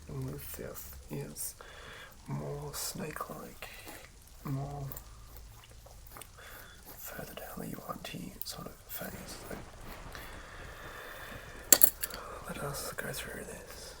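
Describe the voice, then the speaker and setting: Slow, whispering woman in creaky ASMR style. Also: extremely low pitch, poor audio. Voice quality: breathy whisper. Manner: unhurried, muttering and repeating words to self. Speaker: host of a tabletop roleplaying ASMR channel. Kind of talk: whispered ASMR, rambling character creation.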